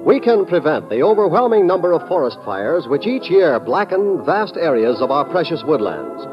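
Vintage radio announcer: American male, high and lively, dull recording with music underneath. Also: normal speed. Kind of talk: 1950s scripted radio announcement.

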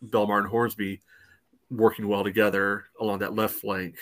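Casting: male sports panelist, forceful edge, close dry sound, casual analysis in halting phrases. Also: fairly low pitch, little pitch movement, no echo. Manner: hesitant phrasing peppered with "you know".

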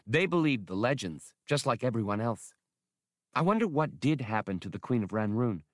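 A man's voice: medium pitch, big pitch swings, moderate pace, dry recording, studio quality, lightly acted. A man voicing a plain-spoken female adventurer with a direct, casual manner in an audiobook. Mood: musing.

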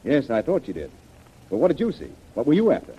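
Dark-voiced, shrewd jungle adventurer. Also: male voice, mid-range voice, wildly swooping pitch, quick pace, slightly rough, exaggerated voice. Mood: probing. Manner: pointed, interrogating. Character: adventurer hunter leader, shrewd, commanding, probing. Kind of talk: 1930s radio adventure serial acting. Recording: muffled, noisy old radio recording.

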